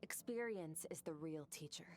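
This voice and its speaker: A woman voicing a stern, composed female sword master in a video game, speaking in a measured, cool, instructive way. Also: low voice, close to an everyday voice. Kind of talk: scripted video game dialogue. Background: background music.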